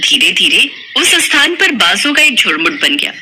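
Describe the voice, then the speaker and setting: A woman's voice: fairly high pitch, sing-song, normal speed, pushed and bright, a little hiss or hum. A woman narrating a folk tale from a script as a storyteller.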